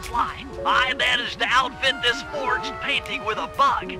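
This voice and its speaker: A very high, nasal cartoon brainiac of a turtle, cautious and scholarly. He lays out game missions in precise, wordy sentences, with music playing behind him. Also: a little slow. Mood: instructive. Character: nerdy, cautious male turtle brainiac, young gadget-minded strategist. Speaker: mission planner and guide. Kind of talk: video game cutscene and tutorial voice acting.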